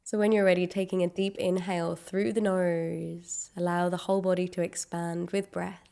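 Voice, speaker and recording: A woman leading a guided meditation, giving gentle, unhurried instructions with long pauses. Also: fairly low pitch, flat delivery, creaky, clean tone.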